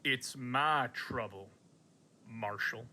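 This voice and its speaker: A man in a Western radio drama playing a jealous, hot-tempered brother who talks gruff, confrontational cowboy talk. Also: mid-range voice, extremely slow, pushed and bright, slight background noise, heavily acted. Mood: defiant, bitter.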